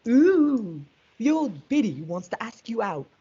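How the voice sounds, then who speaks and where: A woman's voice: on the high side, very animated, a little slow, dark tone, a little hiss or hum. A young woman reading a cocky, teasing young man in amateur reader's theatre. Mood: mocking. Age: teenager. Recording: dull lo-fi audio.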